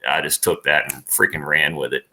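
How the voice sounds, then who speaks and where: A man's voice: rumbling low, bright, forceful tone. A man talking casually as an interview guest, rambling and enthusiastic in a slangy way.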